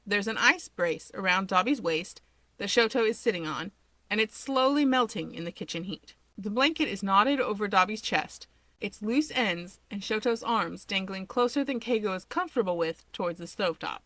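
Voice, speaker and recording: A woman's voice: mid-range voice, expressive, moderate pace, bright, forceful tone, dry recording. A woman narrating a piece of fiction in a scripted storytelling style.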